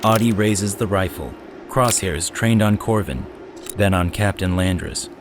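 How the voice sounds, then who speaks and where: A man's voice: low voice, a little slow, background noise. A man giving scripted dramatic narration for a sci-fi audio drama. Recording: loud music behind the voice.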